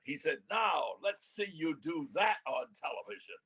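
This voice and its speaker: An older American man with a husky voice, reminiscing casually as a veteran broadcaster in a halting, rambling, animated way. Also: fairly high pitch. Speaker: veteran radio broadcaster, guest. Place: remote video call.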